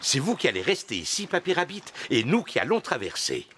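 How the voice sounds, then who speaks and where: An actor playing a cheerful, absent-minded father pig in a French children's cartoon, expressive and full of exclamations. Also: a little high, fairly quick, slight background noise, full character voice.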